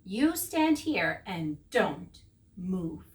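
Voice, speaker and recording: A woman's voice: lowish voice, sing-song, very slow, heavily acted. A woman voicing an exasperated, strict but loving father in a children's story, with emphatic, scolding delivery.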